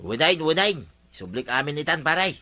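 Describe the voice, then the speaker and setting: A man's voice: on the high side, sing-song, moderate pace. A man with an Ilocano accent playing a careless, pleading neighbour who borrows things, in a colloquial radio drama.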